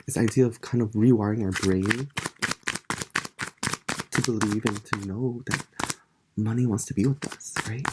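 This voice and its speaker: A congested tarot reader leading a relaxed pick-a-card reading, speaking softly and musing in a meandering way. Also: medium pitch, normal speed, pauses often, heavy background noise. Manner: soft-spoken, meandering, musing aloud.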